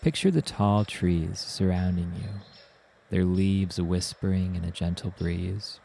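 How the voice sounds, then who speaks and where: A man narrating a guided meditation as the guide, in a soothing, gentle manner with unhurried instructions. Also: deep voice, creaky, slight background noise.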